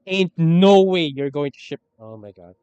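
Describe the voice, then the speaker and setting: A man's voice: fairly high pitch, lively intonation, normal speed, darkish tone, smooth, no echo, highs cut off. A man livestreaming a game, reacting casually and hesitantly, repeating phrases and breaking into exclamations. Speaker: streamer.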